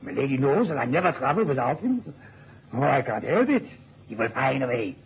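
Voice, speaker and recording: An elderly European count for a radio play. His voice is extremely husky and swings about as he chuckles and dotes. The recording is narrow and phone-like. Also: mid-range voice, sing-song, brisk, full character voice. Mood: doting, amused. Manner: chuckling, doting, repetitive. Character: elderly European count, doting parrot owner. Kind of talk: radio drama acting.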